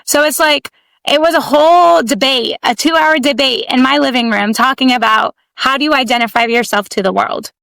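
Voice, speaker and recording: Young woman guest from the US, chatty, bright and clear voice, slightly high. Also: moderate pace, no echo, rough recording. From United States (Houston, Texas). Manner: chatty, full of "like" and "you know". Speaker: interview guest. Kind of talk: casual conversation.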